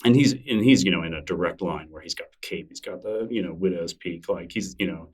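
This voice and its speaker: A man with a very low voice talks his way through answers in a relaxed, wandering manner, circling back with fillers and restarts. He sounds set back from the microphone. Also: brisk, distant mic. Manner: thoughtful, rambling, with frequent fillers and restarts. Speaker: interview guest, newsletter creator. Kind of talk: casual conversational interview.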